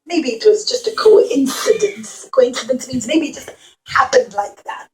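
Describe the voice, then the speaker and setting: High-pitched woman telling children a story. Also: moderate pace, rough recording. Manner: expressive, simple teacherly phrasing with repetition and questions to children. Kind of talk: animated Bible storytelling to young children with a puppet show.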